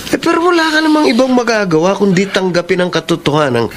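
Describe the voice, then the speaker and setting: An actor playing a frank, teasing young best friend in a Filipino radio drama. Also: male voice, high voice, animated, fast talker. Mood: exasperated, consoling. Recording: faint background noise.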